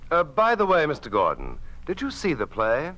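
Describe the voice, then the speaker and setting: An actor playing a principled, sardonic drama critic in a 1950s radio play, with a dry, pointed, cynical delivery. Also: male voice, fairly high pitch, wildly swooping pitch, moderate pace, sharp tone, highs cut off, slight background noise, full character voice. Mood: bitter, pointed.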